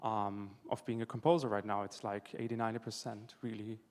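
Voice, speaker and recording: A man's voice: lowish voice, level tone, a little fast, a little mellow. A man with an Austrian accent, a native German speaker, talking casually as a guest in a live interview. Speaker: interview guest, video game music composer. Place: indoor event hall before an audience. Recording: reverberant hall.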